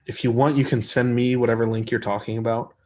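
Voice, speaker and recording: A man hosting a casual live stream, reading viewer comments and answering them in an easygoing, rambling way with a soft voice. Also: medium pitch, level tone, fast talker. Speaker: arm wrestler hosting a live chat stream.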